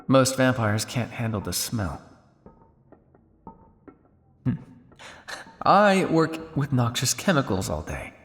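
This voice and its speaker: A man's voice: medium pitch, long reverb tail, natural voice. A man playing a cynical, world-weary vampire scientist on the run in an intimate, condescending ASMR roleplay monologue. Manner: sardonic, condescending, with exasperated sighs and dry asides.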